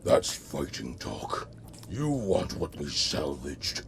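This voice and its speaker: A man voicing a brutish, taunting robot henchman in a video game, with a menacing gravelly growl. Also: very deep voice, huge pitch swings, slight background noise, exaggerated voice. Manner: menacing, drawn-out threats. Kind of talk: video game cutscene acting. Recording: loud music behind the voice.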